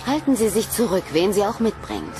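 A woman's voice: mid-range voice, huge pitch swings, a little fast, over music. An actress playing a cautious, concerned female scientist in a sci-fi audio drama, formal and admonishing. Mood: stern, cautious.

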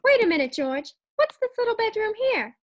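A woman's voice: very high-pitched, very animated, normal speed. A woman playing a perky, exasperated housewife with emphatic comebacks in an old-time radio sitcom. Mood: suspicious.

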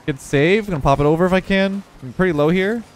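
A young man with a congested voice giving relaxed running commentary on his own video game match. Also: high voice, fast talker. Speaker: gaming video creator. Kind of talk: live gameplay commentary.

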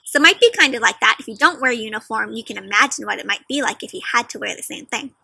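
A young adult woman hosting an interactive picture book read-aloud, questioning young children directly in an animated, teacherly way. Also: on the high side, quick pace, creaky, husky, no room sound. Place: outdoors in a leafy garden.

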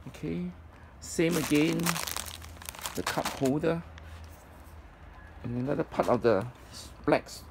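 A man giving an informal, halting item-by-item walkthrough as a presenter at a storage unit. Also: creaky. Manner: halting, piecemeal delivery. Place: storage facility, at open unit. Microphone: handheld phone camera, speaker behind it. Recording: noisy handheld recording, traffic-like rumble.

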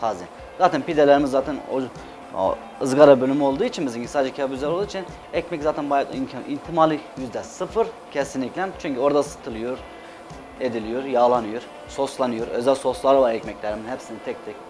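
A man's voice: medium pitch, fast talker, darkish tone. A man in his thirties from Adana in Turkey, a chef talking casually and emphatically as an interview guest, repeating words for stress. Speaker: chef and restaurant owner, guest. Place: TV studio kitchen set. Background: background music.

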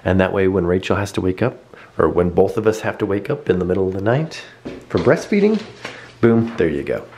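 A man in his thirties giving a quick, upbeat house tour and chatting at home. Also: low voice, sing-song, a little mellow, slight background noise. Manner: quick, upbeat, rambling with restarts. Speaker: vlog co-host. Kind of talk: casual house tour and chat. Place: living room at home, indoors.

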